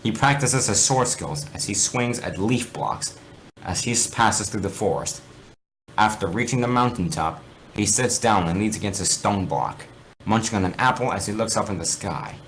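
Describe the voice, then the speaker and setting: Low-voiced man reading dramatically, noisy recording. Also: lively intonation, normal speed, a bit bright. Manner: theatrical, stumbles over words and corrects himself. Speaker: reader of fan fiction. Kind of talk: amateur dramatic story reading.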